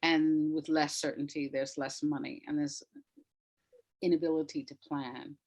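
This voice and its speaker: A woman speaking as an interview guest, reflective and emphatic, deliberately repeating words to stress her points. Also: low-pitched, flat delivery, darkish tone. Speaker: interview guest, audio drama writer.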